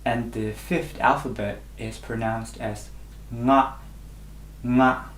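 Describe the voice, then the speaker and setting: A man's voice: mid-range voice, fairly even intonation, takes it slowly, fairly bright tone, reverberant. A man whose first language is Burmese, teaching a language lesson and patiently repeating each sound three times. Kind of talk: slow instructional lesson.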